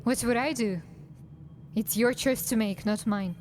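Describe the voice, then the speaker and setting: A woman playing a wry, guarded dark elf assassin in a fantasy game, dry and sardonic in her delivery. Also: fairly high pitch, unhurried, slight background noise, close to an everyday voice. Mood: firm, guarded. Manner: dry, sardonic, muttering to herself. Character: wry, guarded female drow assassin adventurer. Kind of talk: acted fantasy game dialogue and monologue.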